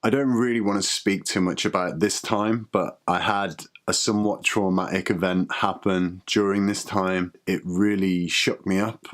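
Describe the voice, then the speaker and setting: A man talks to camera in a personal video diary. His voice sits somewhat low, and his delivery is candid and reflective, with occasional halting restarts. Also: steady tone, a bit bright. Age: 30s. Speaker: vlogger.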